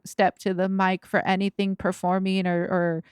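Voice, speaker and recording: A woman's voice: medium pitch, little pitch movement, moderate pace, darkish tone, smooth, no echo, clean studio sound. A woman from Los Angeles, a rapper guest on a podcast, chatting casually with lots of fillers, restarts and laughs. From Los Angeles, USA. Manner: rambling, filler-heavy, laughs often.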